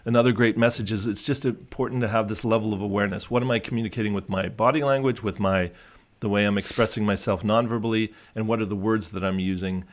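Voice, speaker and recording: Male coach, low voice, casual and reflective, restarts often. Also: steady tone, quick pace. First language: English. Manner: reflective, many restarts and fillers. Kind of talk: casual conversational discussion.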